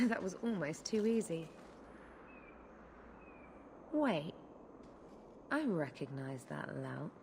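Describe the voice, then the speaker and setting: A woman's voice: low voice, very animated, slow, pauses often, darkish tone, slight background noise, heavily acted. An actress playing a tough, sardonic female criminal enforcer, blunt and impatient, in a video game drama. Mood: hard, menacing. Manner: sardonic, hard-edged, blunt.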